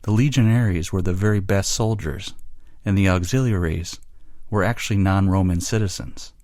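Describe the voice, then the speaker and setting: A man with a low voice reads in a measured, explanatory narration style. The sound is dry and free of room echo. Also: darkish tone. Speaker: narrator of model read-aloud answers. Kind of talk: scripted read-aloud narration.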